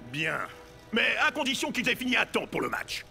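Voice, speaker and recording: Gravelly, impatient football coach. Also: male voice, very high voice, big pitch swings, normal speed, bright, forceful tone, heavily acted. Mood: grudging, stern. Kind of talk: animated French-language cartoon dub. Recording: music behind.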